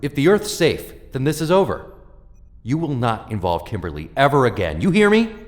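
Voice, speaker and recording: A man's voice: medium pitch, sing-song, normal speed, natural voice. A man playing a stern, protective father in an audio drama, blunt and commanding. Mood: angry, firm. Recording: long hall reverb.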